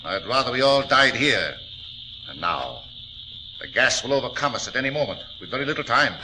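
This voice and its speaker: A man's voice: mid-range voice, expressive, a little fast, natural voice. A man playing a desperate, fanatical villain in melodramatic 1940s radio style. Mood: threatening, desperate. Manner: melodramatic, brooding. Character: desperate, fanatical male villain trapped in a mine. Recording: noisy old 1940s radio recording.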